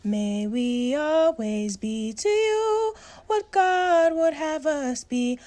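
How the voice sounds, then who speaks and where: A woman's voice: high-pitched, expressive, extremely slow, a little mellow. A woman singing a devotional hymn to accompaniment. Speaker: singer. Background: instrumental music.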